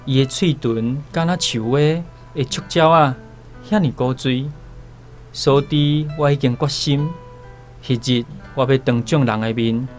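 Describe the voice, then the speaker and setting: A medium-pitched male voice with a Taiwanese accent plays a devoted young islander acted by a man. He declares his love earnestly in radio-drama style, with music beneath. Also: close to an everyday voice.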